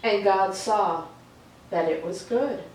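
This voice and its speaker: A woman reading aloud for a children's storytime in a slow, deliberate, expressive way. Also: mid-range voice. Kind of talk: read-aloud storytime with chatty asides. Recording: echoey room, distant mic, faint noise.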